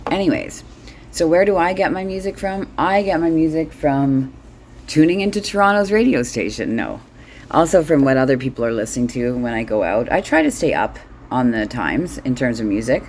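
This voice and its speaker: Middle-aged woman, deep register, blunt casual comic monologue, some background noise. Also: expressive, moderate pace, darkish tone. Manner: blunt, sweary, wry. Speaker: solo host.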